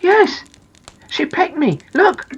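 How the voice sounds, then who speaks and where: A woman's voice: very high-pitched, big pitch swings, takes it slowly, muffled recording, pitched above the performer's own voice, exaggerated voice. A woman voicing a small, naive, anxious boy in a children's story, with a plaintive, innocent manner. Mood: plaintive, worried.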